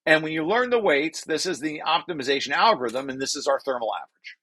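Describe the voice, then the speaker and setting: Bright-voiced man explaining technical material. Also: a little high, animated, fast talker, poor audio. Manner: repeats and rephrases key phrases for emphasis. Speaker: presenter walking through a research paper draft. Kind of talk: informal technical explanation to colleagues.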